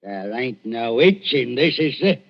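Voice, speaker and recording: An actor playing a big, menacing, impatient grave robber with rough speech in a radio horror drama. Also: male voice, medium pitch, very animated, measured pace, exaggerated voice. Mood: surly, menacing. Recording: dull lo-fi radio recording.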